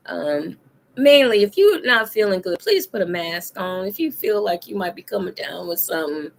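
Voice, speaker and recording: A woman chatting casually as a co-host, her voice made deep and hoarse by a cold, talking loosely with many pauses and laughs. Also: slight background noise. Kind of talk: casual chat.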